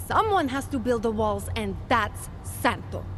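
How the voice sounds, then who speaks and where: An actress playing an earnest, persuasive corporate recruiter in a video game, with a polished, idealistic sales delivery. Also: female voice, a little high, very animated, measured pace, slight background noise. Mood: defensive, assured. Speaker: company representative. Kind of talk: video game dialogue acting.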